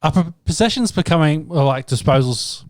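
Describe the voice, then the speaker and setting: A man's voice: on the high side, animated, fairly quick. A man co-hosting a sports podcast, chiming in with dry, short remarks. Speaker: podcast co-host. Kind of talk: casual sports chat.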